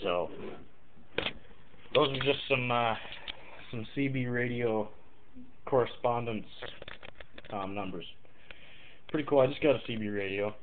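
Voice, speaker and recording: A man giving an informal, halting tutorial, thinking aloud as he explains and demonstrates example radio calls. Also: medium pitch, slight background noise. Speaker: amateur CB radio tutorial maker. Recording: muffled, tinny phone-like lo-fi audio.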